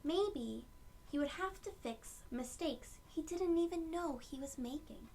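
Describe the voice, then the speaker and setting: A woman reading a picture book aloud to young children and explaining it gently in simple words. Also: sits high, lively intonation, measured pace, slight background noise. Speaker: children's read-aloud host.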